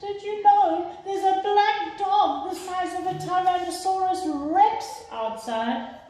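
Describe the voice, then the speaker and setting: A woman voicing an alarmed, excitable young girl in a storybook, exclaiming. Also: very high-pitched, takes it slowly, pitched above the performer's own voice. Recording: roomy echo, distant mic, faint noise.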